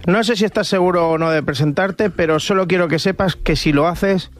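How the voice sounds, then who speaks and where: A man from Catalonia, a radio comedian telling comic anecdotes and bantering in an animated, stumbling way. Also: high voice, fairly quick. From Catalonia, Spain.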